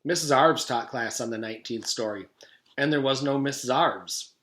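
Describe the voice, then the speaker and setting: A man narrating a children's storybook as a teacher reading aloud, with expressive, deliberate storytelling. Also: mid-range voice. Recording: echoey room.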